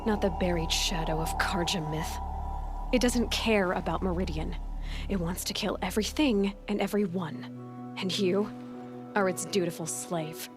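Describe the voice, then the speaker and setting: An actress playing a blunt, defiant young captive hunter in a video game, scornful and challenging. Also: female voice, on the low side, a little slow, natural voice. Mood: scornful defiance. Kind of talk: dramatic video game acting. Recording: dramatic music behind the voice. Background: music.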